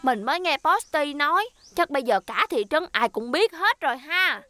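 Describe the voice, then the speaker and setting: Cocky little cartoon mail car: very high, bright, childlike, gossipy dub over light music. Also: female voice, lively intonation, normal speed. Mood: amused, gossipy. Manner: teasing, childlike. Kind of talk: dubbed children's cartoon acting.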